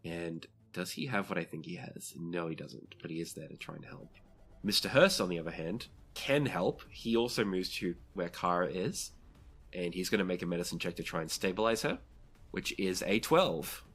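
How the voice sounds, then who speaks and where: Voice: sits low, animated, moderate pace, breathy edge. A game master narrating a tabletop role-playing game, describing the action in vivid, dramatic detail.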